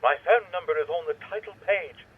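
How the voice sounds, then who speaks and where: An actor playing an eccentric, smug horror writer in a radio drama, theatrically delighted, heard through a telephone filter. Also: male voice, on the high side, brisk, slightly rough. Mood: gleeful, coaxing. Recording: thin, band-limited telephone line.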